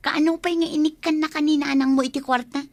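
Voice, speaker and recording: A woman's voice: high voice, fairly even intonation, quick pace, dry recording. A woman with an Ilocano accent playing a struggling young mother, pleading and defensive, in a radio drama. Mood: nagging, worried.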